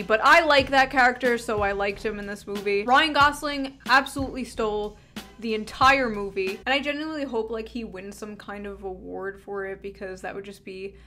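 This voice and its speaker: A woman's voice: medium pitch, fairly bright tone. A young woman giving a sarcastic video essay commentary, ironic and crude with bursts of mock outrage, close to a studio mic. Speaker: online commentator. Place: small indoor room with tinsel backdrop. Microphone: large studio mic close in front.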